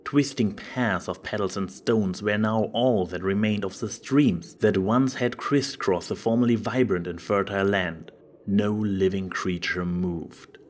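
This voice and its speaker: A man's voice: on the low side, unhurried, a little hiss or hum. A man reading scripted story narration.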